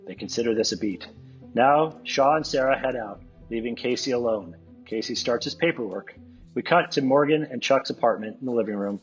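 A man with a husky voice reading stage directions at a script table read. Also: medium pitch, fairly quick, highs cut off, soft background music.

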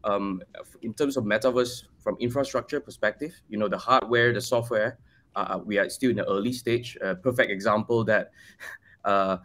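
A man's voice: on the low side, steady tone, normal speed, a bit bright. A man in his forties with a Malaysian accent, answering interview questions casually over a video call. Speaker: guest, crypto project team member. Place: bright room at home, video call.

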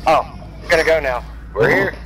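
A man chatting casually and excitedly with friends while playing a video game, swearing freely. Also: a little high, moderate pace, noisy background. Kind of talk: casual gaming chat with friends. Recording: voice under loud game audio.

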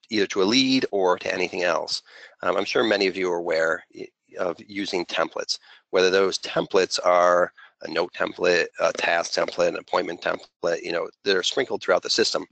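A man presenting an unscripted software walkthrough as a consultant, conversational and peppered with filler phrases. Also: low-pitched, steady tone, normal speed, gravelly, dry recording, poor audio. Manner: conversational, heavy use of "you know" as filler.

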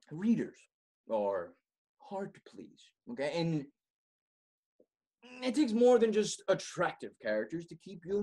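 Male actor doing a pompous wannabe writer guy, husky, super swoopy, takes his time. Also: on the high side, heavily acted. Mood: smug lecturing. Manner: smug, animated, chuckling, dramatic pauses. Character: pompous, melodramatic amateur writer giving writing advice. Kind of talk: theatrical comedic monologue.

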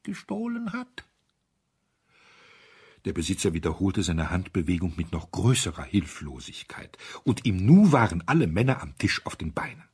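A man's voice: low-pitched, animated, a little fast, hardly any pauses, husky. A man narrating a story as an audiobook reader, in a dramatic scripted style.

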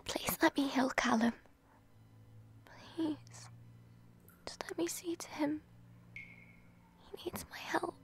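Captive young woman, tearful whispered pleas, very high and slow, a woman acting her. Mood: desperate pleading. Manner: breathy tearful whispering, pleading. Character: frightened young woman student held captive. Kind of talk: audio roleplay drama.

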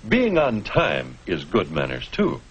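A man's voice: low voice, huge pitch swings, a little slow, husky, highs cut off. A man narrating a 1950s educational film in an instructive manner, putting rhetorical questions to the viewer. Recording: noisy old 1950s film soundtrack.

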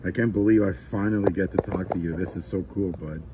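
Deep-voiced man with noisy background. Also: fairly even intonation, a little fast. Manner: admiring, slangy. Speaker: amateur radio operator. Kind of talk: casual ham radio chat.

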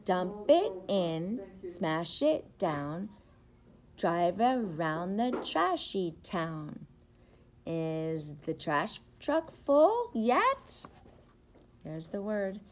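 A middle-aged woman, a preschool teacher, reads a picture book aloud to young children with expressive, rhythmic, sing-song phrasing. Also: fairly low pitch, drawn-out words. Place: indoors, school classroom. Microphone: device camera at about arm's length.

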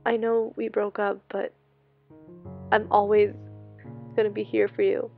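A woman's voice: medium pitch, level tone, unhurried, gravelly. A young woman playing a wistful, heartbroken ex-girlfriend in a naturalistic short film, hesitant and subdued. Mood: wistful, tender. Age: young adult. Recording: dull lo-fi sound under guitar music. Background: faint guitar music.

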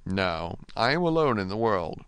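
A man voicing a cautious, secretive male prisoner in an audiobook, murmuring in a measured, restrained way. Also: lowish voice, sing-song, fairly bright tone, smooth, no room sound. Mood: resigned.

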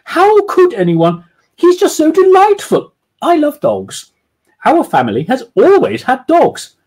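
A man reading aloud and voicing a haughty, posh woman with a high-pitched squawk and a drawn-out, schoolmistress-like manner. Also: wildly swooping pitch, darkish tone, full character voice. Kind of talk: storybook reading.

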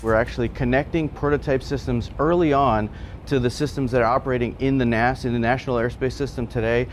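A man's voice: mid-range voice, fairly even intonation, quick pace, soft background music. A man in his forties explaining technical work as an engineer in an interview. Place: indoor simulator lab.

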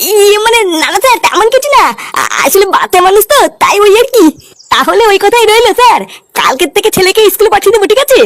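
A woman's voice: very high voice, big pitch swings, fast, no echo, studio quality, full character voice. A woman voicing a crude, boastful, hot-tempered father in a comedy cartoon, blustering and foul-mouthed. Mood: pleading, boastful.

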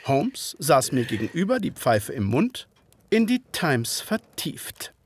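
An actor playing a gentleman physician and former soldier, narrating an audio drama in measured, literary fashion. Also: fairly high pitch, big pitch swings, breathy edge, full character voice. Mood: relieved, composed.

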